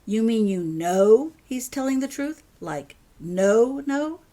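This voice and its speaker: An exasperated storybook dad, acted out by a woman reader, stern and quick to anger. He snaps and raises his voice, though he cares for his children underneath. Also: a little high, lively intonation, voice pushed up. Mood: urgent, questioning. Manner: shouts and snaps when angry. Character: angry, exasperated father, stern but loving.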